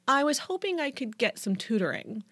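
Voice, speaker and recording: A woman's voice: high voice, big pitch swings, moderate pace, fairly bright tone, dry recording, pitched above the performer's own voice, exaggerated voice. A woman voicing a confident, teasing teenage cheerleader ringleader in an audiobook. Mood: coy. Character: bold, coy teenage cheerleader ringleader girl. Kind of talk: audiobook character dialogue.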